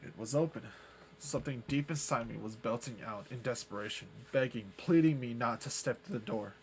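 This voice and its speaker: A man's voice: medium pitch, fairly quick, a little hiss or hum, rough recording. A man live-streaming a spooky visual novel, reading its narration aloud dramatically and dropping casual, sweary asides. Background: game music.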